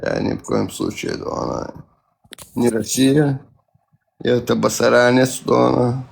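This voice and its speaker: This is a man with a Caucasian accent calling in to a live voice chat. He rambles through loose, hesitant speech full of fillers and self-corrections. His mid-pitched voice has a gravelly edge. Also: big pitch swings, measured pace. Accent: Caucasian-accented Russian. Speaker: caller on a live stream. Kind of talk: casual chat.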